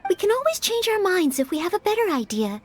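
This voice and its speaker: A woman's voice: very high voice, sing-song, fast. An actress playing an earnest, polite young maid-knight woman in an anime-style video game, careful and sincere. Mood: thoughtful.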